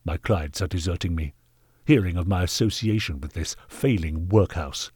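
A man's voice: sits low, moderate pace, breathy edge, dry recording, studio quality. A man voicing a haughty, bullying Victorian knight in a storybook reading, pompous and scornful. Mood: cold, scornful. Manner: pompous, forceful, contemptuous. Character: haughty, callous, bullying Victorian gentleman knight, angry benefactor. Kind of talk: dramatised audiobook character reading.

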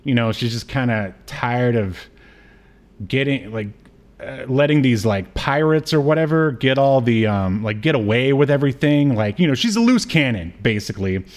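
A man co-hosting a podcast, chatting casually about television in a hesitant, halting way as he recaps. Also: medium pitch, lively intonation. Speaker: podcast co-host. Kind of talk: casual TV review chat.

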